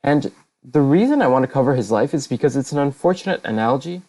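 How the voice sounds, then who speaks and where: Gravelly-voiced man giving a hesitant lecture. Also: medium pitch, expressive, quick pace, warm tone. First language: not Kazakh. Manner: hesitant, many fillers and self-corrections. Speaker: presenter. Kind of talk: casual slide lecture. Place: online voice channel talk.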